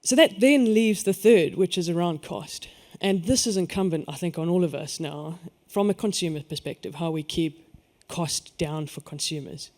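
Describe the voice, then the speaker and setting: A man's voice: on the high side, moderate pace, few pauses, a little mellow. A man with a South African accent speaking on a conference panel, stressing key words and then letting his voice fall away. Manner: emphatic, lifts his voice on key points then trails off. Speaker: energy industry panelist.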